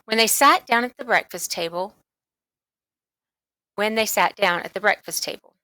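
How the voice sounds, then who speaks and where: A woman reading a children's book aloud as scripted narration, in an expressive, emphatic way. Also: medium pitch, moderate pace, no echo, rough recording. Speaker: storybook reader.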